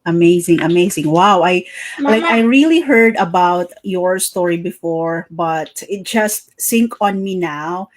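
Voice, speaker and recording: A woman's voice: lowish voice, a little slow. A middle-aged woman hosting a casual live-stream interview, upbeat and chatty, repeating greetings and praise. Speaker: host of a live interview series.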